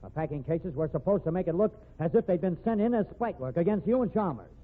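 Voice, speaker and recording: An actor playing a blunt, skeptical detective with curt, slangy lines, in vintage radio mystery style. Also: male voice, high-pitched, lively intonation, fast. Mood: accusing. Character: brusque, skeptical hard-boiled male detective. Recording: tinny, narrow-band old radio recording.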